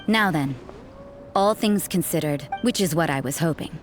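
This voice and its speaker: A woman's voice: sits low, faint music behind, natural voice. A woman playing a cool, suspicious, sardonic nun in a video game. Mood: dry, cautioning. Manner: dry, sardonic, deliberate. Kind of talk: video game character acting.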